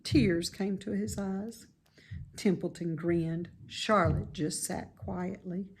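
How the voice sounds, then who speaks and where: A woman narrating a children's book in an expressive read-aloud style. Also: fairly low pitch, slow pace, slight background noise. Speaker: storybook reader.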